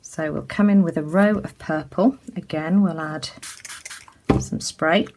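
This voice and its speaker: A woman from the UK giving a casual craft tutorial as she works, chatty and full of ums, her voice a little croaky. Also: deep voice, measured pace, background noise. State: slightly croaky. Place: indoors at a craft table.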